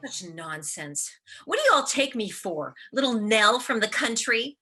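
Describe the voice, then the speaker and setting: A woman's voice: fairly high pitch, big pitch swings, measured pace, bright, forceful tone, husky, exaggerated voice. A woman playing a proud, paranoid, wounded leading actress in a radio play, with theatrical, sarcastic and emphatic delivery. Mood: indignant. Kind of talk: radio play acting of classic film dialogue.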